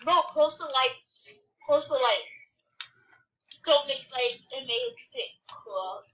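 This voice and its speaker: A woman's voice: high voice, animated, takes it slowly, fairly bright tone. A young girl chatting casually and playfully as she improvises a pretend-play game, picked up on a muffled, distant home mic. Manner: playful, improvising lines aloud. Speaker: player voicing a roleplay video.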